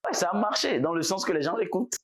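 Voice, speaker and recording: Relaxed, halting Togolese-born man. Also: sits high, breathy edge. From Togo. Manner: relaxed, halting, repeats words. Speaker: musician guest. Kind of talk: casual interview.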